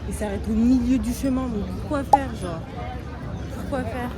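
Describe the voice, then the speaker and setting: A woman's voice: on the high side, normal speed, very noisy. A young woman chatting casually to her viewers as she vlogs through city streets and shops, slangy and talkative. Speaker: vlogger host. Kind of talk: casual travel vlog narration. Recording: loud street crowd and music behind.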